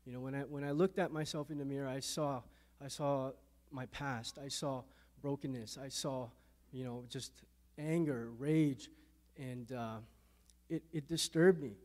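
A man in his thirties from Canada, telling his faith testimony in an interview, halting and repeating words, growing quiet on hard memories. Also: medium pitch, fairly even intonation. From Canada, born in a Thai refugee camp to Lao parents. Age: 30s.

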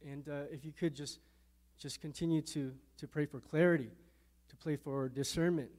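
A man in his thirties from Canada, telling his faith testimony in an interview, halting and repeating words, growing quiet on hard memories. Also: on the high side, steady tone. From Canada, born in a Thai refugee camp to Lao parents. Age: 30s.

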